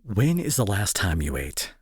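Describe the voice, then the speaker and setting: A man acting in an intimate audio roleplay as a gruff young bully who is secretly worried and protective, talking to the listener with sarcasm and exasperation. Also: sits low, huge pitch swings, measured pace, no room sound, studio quality, full character voice. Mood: exasperated, scolding.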